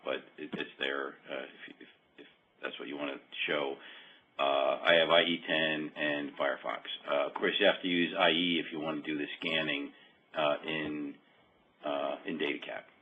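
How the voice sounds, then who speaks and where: A very low-voiced man gives an informal software demo over a thin, phone-like conference line. He explains step by step in a halting way, often correcting himself. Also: fairly even intonation, slightly rough. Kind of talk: informal technical walkthrough on a web meeting. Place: remote web conference call.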